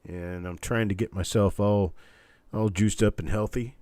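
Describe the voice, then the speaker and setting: Man, very low pitch, scratchy, congested, worn down by illness, halting casual livestream host, dry sound. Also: steady tone, mellow, no echo. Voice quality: congested, stuffed-up nose. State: recovering from illness, weakened voice. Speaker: host of a live online coin auction. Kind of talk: casual livestream chat with viewers.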